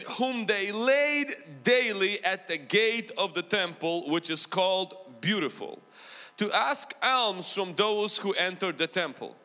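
Man, Ukrainian-American accent, Pentecostal preacher, bright high voice, call-and-response, long church reverb. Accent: Ukrainian mixed with American. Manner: preaching with rising intensity, drawing call-and-response from the congregation. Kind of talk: Pentecostal sermon. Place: large church hall.

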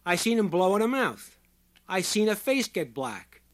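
Man acting a loud, insistent squat working-class man. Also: a little high, big pitch swings. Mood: angry, accusing. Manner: shouting, slow emphatic declarations in folksy ungrammatical speech. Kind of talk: audiobook character voice.

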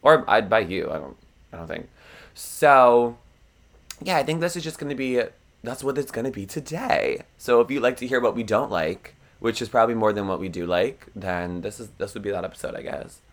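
A man's voice: medium pitch, lively intonation, fairly quick. A young man with a froggy voice, co-hosting a podcast in rambling, self-deprecating casual chat and laughing often. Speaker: podcast co-host.

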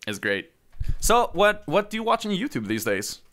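Lively-voiced man from Sweden hosting. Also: high voice, normal speed. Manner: asks questions, joking asides. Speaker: podcast host. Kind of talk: casual chat.

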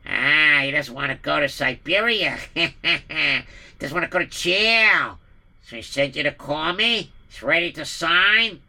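A man's voice: fairly high pitch, unhurried, creaky, sharp tone, full character voice. A man doing a cackling, gloating villain. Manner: mocking, cackling, boastful. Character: scheming gloating male villain, middle-aged.

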